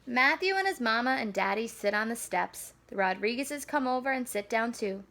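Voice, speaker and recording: A woman reading a children's storybook aloud in an animated, deliberate storyteller delivery. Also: a little high. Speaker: school staff member reading aloud.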